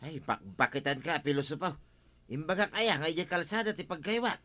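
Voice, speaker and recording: A man's voice: a little high, a little fast, sharp tone. A man playing a blunt, grumbling village firewood seller in a Filipino radio comedy drama.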